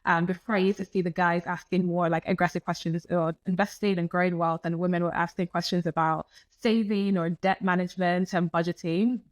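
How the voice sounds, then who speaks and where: A young woman in her twenties who grew up in Nigeria speaks as a podcast guest, explaining money matters fluently and peppering her talk with "like". Her voice sits on the lower side, and the sound is close and dry with no room around it. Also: level tone, fairly quick, no room sound, muffled recording. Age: 20s. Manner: fluent, frequent "like" fillers. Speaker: guest, personal finance content creator. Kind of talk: conversational interview answers.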